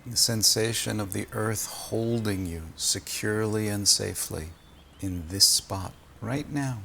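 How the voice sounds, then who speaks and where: A man leading a guided meditation as a teacher, speaking gently and soothingly, with long pauses between phrases. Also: lowish voice, creaky, a little hiss or hum.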